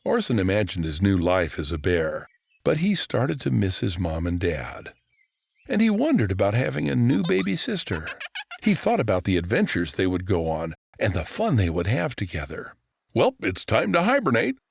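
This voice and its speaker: A man narrating a children's storybook in an expressive, scripted read. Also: deep voice, moderate pace, vocal fry, breathy edge. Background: background music.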